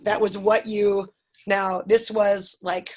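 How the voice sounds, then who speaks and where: A woman's voice: medium pitch, little pitch movement, slow. A woman in her forties from Chicago, thinking aloud with frequent restarts in a casual group video call. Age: 40s.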